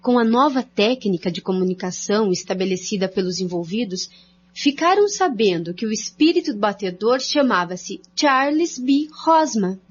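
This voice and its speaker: A woman's voice: medium pitch, lively intonation, normal speed, breathy edge. A woman with a Brazilian accent reading scripted narration for a radio drama. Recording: dull lo-fi, faint hum.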